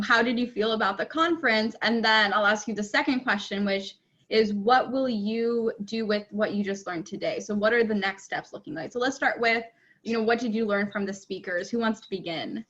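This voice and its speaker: A woman's voice: fairly high pitch, a bit bright, highs cut off. A woman moderating a video call breakout room, encouraging and friendly, with frequent ums.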